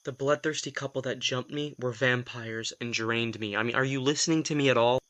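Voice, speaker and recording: Man voicing a reluctant young vampire, bright forceful voice, curt, defensive, audio drama. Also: medium pitch, steady tone, lightly acted. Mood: exasperated. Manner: terse, prickly, quick to snap.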